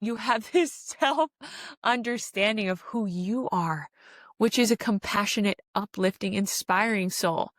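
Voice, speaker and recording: A woman's voice: medium pitch, unhurried, a bit bright, dry recording, clean studio sound. A woman giving an informal spiritual self-help monologue as a podcast host, speaking earnestly and repeating phrases for emphasis.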